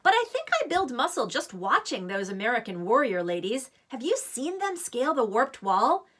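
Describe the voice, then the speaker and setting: A woman's voice: on the high side, big pitch swings, moderate pace, a bit bright. A woman voicing a reluctant, wry preteen girl with an offhand, mildly defiant manner in a children's novel read-aloud. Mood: defensive.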